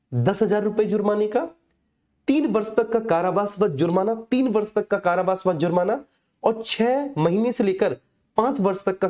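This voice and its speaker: A man's voice: sits high, warm tone. A man giving an informal exam lesson, explaining conversationally with frequent check-in tags. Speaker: teacher for police exam coaching.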